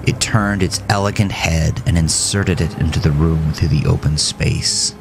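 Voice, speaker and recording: A man narrating a scripted horror story in a measured, solemn way. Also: rumbling low, music in the background.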